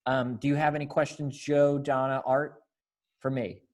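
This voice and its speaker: A man's voice: medium pitch, flat delivery, normal speed. A young man directing a readers theater group over a video call in a casual, encouraging way. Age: young adult. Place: indoor room by window, video call.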